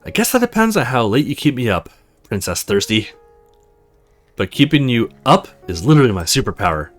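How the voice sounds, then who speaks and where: A man's voice: medium pitch, sing-song, a little fast, soft background music, close to an everyday voice. A man voicing a protective, teasing young sibling in a visual novel, in a casual, playful way. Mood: teasing, affectionate.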